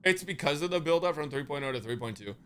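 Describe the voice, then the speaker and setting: Man, live game streamer, bright punchy tone, slightly high pitch, casual slang, profane hyperbole, joking. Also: lively intonation, quick pace. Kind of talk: casual livestream chat.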